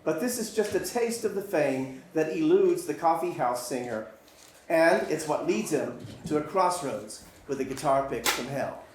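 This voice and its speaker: A man's voice: a little high, expressive, normal speed, noisy background. Man presenting in a very echoey room. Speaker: screenwriter introducing his script. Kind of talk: prepared live introduction. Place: live stage venue. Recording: very echoey live hall.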